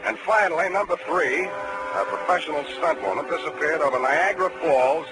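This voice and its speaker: An actor playing a hard-boiled, wisecracking private detective in a 1940s radio drama, with a dry, slangy delivery. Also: male voice, a little high, moderate pace, heavy background noise, natural voice. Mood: wry narration. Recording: vintage 1940s radio broadcast, music underneath.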